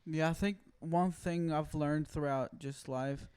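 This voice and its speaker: A male podcast host with a smooth voice pitched a little high. He tells stories in a loose, relaxed way, full of "like" fillers. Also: unhurried. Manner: relaxed storyteller, many "like" fillers. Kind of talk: casual chat.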